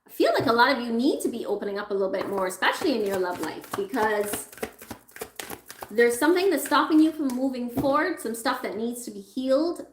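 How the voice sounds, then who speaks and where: A woman reading tarot cards for her viewers in a chatty, reassuring way. She is losing her voice and keeps clearing her throat. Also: a little high, expressive, fairly quick, roomy sound, a little hiss or hum. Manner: chatty, reassuring, thinks aloud while pulling cards. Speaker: tarot reader.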